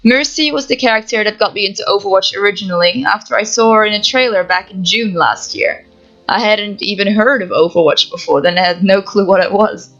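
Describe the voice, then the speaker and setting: A woman's voice: mid-range voice, fairly quick, a bit bright, muffled recording. Young woman with a Dutch accent. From Netherlands. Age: young adult. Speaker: gaming YouTuber. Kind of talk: reading Q&A answers to camera, no face cam. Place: home setup, voice-over without camera.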